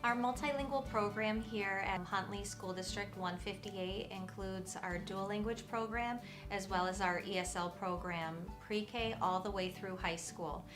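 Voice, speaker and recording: A woman narrates a school district video with an upbeat, steady delivery. Her voice sits mid-range, with music playing underneath. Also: mid-range voice, a little slow, few pauses. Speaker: multilingual instructional coach.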